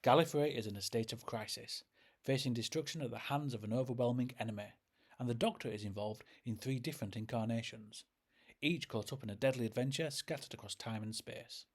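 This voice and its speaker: A man presents a scripted review in the role of host. His voice is a little rough and husky at an ordinary male pitch. He explains things in a measured way, point by point. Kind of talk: scripted narration, audio drama review.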